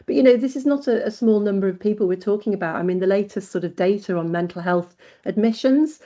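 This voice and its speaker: A woman's voice: medium pitch, fast talker, warm tone. A woman researcher answering questions in an online webinar, earnest and thinking aloud, with plenty of fillers and restarts. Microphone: video call. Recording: dull lo-fi webinar audio.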